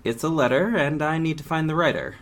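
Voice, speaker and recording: A man speaking drama dialogue in a brisk, evasive, joking way. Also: on the high side. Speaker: lead character in dialogue.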